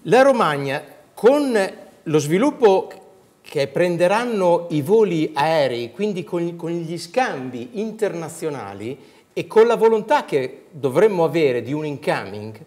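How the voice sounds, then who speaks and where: A man's voice: a little high, big pitch swings, a little slow, darkish tone. A man in his sixties giving his opinion as a guest on a studio panel. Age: 60s. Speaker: trade association president, panel guest. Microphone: lapel mic.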